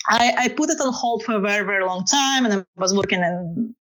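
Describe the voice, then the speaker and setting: A woman's voice: mid-range voice, a little fast, a bit bright, low-quality recording. A woman with a Russian accent, a photographer answering interview questions casually, with many fillers and frequent laughter. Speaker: photographer guest.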